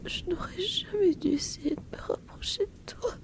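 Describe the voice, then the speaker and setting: A woman's voice: very high-pitched, lively intonation. Woman playing a whispering, painfully shy outcast boy. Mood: hurt, pleading. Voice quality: breathy whisper. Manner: hesitant, apologetic, halting. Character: shy, self-loathing young male outcast classmate, desperate to be noticed. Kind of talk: whispered ASMR roleplay in French.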